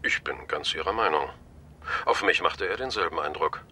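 Bright, forceful male boss. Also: rumbling low, moderate pace, slightly rough, full character voice. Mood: pointed, businesslike. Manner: polite but pointed, authoritative. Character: brisk, shrewd male media boss, wryly amused, decisive. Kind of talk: radio drama acting.

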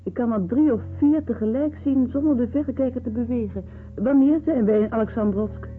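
An actress playing a polite, wonder-struck lady passenger on a ship in a radio drama, speaking in a dreamy, measured way. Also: a little high, close to an everyday voice. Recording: dull lo-fi old radio play recording.

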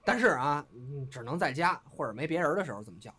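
Cheeky, lively young northern Chinese man. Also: a little high, fast, husky, heavily acted. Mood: playful, defensive. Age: young adult. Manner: teasing, excitable. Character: playful, cheeky, love-struck young man. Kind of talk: audio drama acting.

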